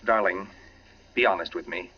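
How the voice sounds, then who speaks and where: A man's voice: deep voice, normal speed, husky. A man playing an earnest, hesitant, affable but suspicious suburban husband in 1940s radio drama style. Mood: uneasy, probing. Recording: muffled old radio recording.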